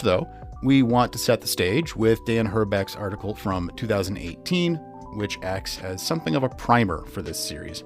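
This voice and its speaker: A man reading scripted true crime podcast narration as host, in a measured, emphatic way. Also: on the low side. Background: music.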